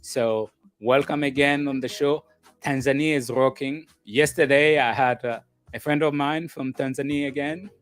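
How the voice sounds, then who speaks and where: A man hosting a live relationship show, welcoming viewers and guests in a slow, deliberate way and often repeating himself. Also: mid-range voice, fairly even intonation, soft background music. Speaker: host of a call-in relationship show.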